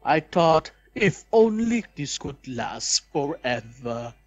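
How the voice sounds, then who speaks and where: A man's voice: fairly high pitch, big pitch swings, slow, a little mellow, no echo, dull audio. A young man reads a visual novel aloud as a let's play host, with dramatic expression and asides.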